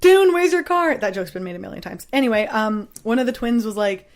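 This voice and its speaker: A woman comedian co-hosting a podcast, telling stories in casual chat in an animated, crass, quick-joking way. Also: on the high side.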